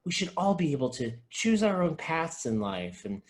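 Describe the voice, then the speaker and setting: An actor playing an earnest, geeky video store clerk, speaking conversationally in a comedy table read. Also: male voice, a little high, sing-song, moderate pace.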